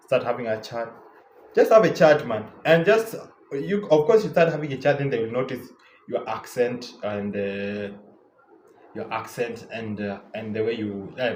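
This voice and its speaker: A man's voice: mid-range voice, a little mellow, a little hiss or hum. Young male vlogger, Kenyan English accent, casual chatty delivery, roomy echoey sound. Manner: loose and chatty, lots of repeats and fillers. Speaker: travel vlogger. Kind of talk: casual travel advice talk.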